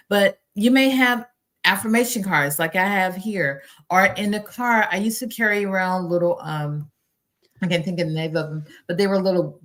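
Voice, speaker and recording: A middle-aged woman speaking as a guest expert, giving earnest, instructive advice in a conversational interview style. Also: medium pitch. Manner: earnest, instructive, listing practical tips.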